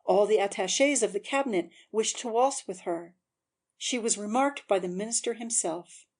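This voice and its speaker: A woman's voice: mid-range voice, moderate pace, darkish tone. A woman narrator giving a scripted, dramatic audiobook reading.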